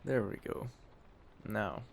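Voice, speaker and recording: A man playing a calm, courteous, quietly menacing mafia boss in an ASMR roleplay, speaking in a measured, deliberate way with a gravelly voice. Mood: businesslike, welcoming. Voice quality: gravelly, low. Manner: measured, deliberate, smooth-talking, faintly menacing. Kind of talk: ASMR roleplay addressed to the listener. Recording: steady noise of storm ambience behind. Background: storm ambience.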